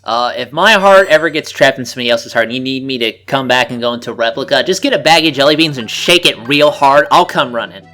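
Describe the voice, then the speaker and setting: A man's voice: mid-range voice, lively intonation, fast talker, few pauses, bright, forceful tone. A man chatting casually as co-commentator on a video game playthrough. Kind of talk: casual chat. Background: game music.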